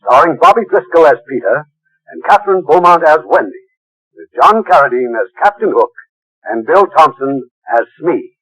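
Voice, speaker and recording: A man announcing a radio play in a formal, measured old-time broadcast style. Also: a little high, lively intonation, a crowd in the background, poor audio.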